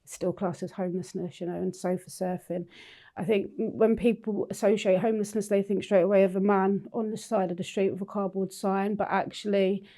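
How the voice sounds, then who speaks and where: Flat, mellow-voiced woman. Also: mid-range voice, a little fast. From Newcastle, England. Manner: candid, plain-spoken. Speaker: lived experience ambassador. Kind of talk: conversational interview.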